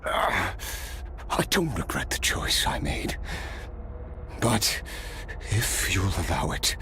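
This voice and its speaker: An actor playing a weary, remorseful immortal male warrior in a video game, slow and reflective, sometimes dropping to a hush. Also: fairly low pitch, sing-song, slight background noise, exaggerated voice.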